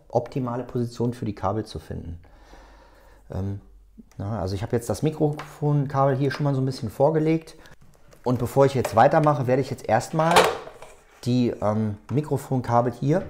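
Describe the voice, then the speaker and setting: A man hosting a hands-on tutorial, explaining step by step in a halting, unscripted way as he works. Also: mid-range voice, darkish tone, a little hiss or hum. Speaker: tutorial host. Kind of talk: hands-on tutorial, talking while working.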